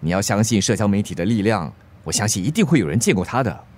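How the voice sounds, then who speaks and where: A man's voice: on the low side, sing-song, quick pace, darkish tone. A man with a Singaporean accent playing a practical, reassuring friend in a radio drama, mixing in English words. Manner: colloquial, sprinkles English words into speech. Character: level-headed, reassuring male friend, practical peacemaker.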